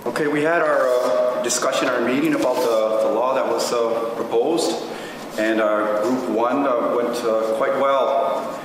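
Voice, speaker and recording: A man speaking up at a meeting as a group member, in a halting, piecemeal way. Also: mid-range voice, steady tone. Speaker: meeting participant, group spokesman. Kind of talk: reporting and arguing at a meeting. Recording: distant, very echoey, noisy room.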